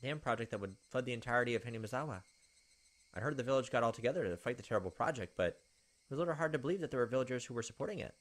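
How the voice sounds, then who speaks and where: Fast-talking young man reading a game aloud. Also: medium pitch, expressive. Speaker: gaming video host. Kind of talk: reading a visual novel aloud with casual asides.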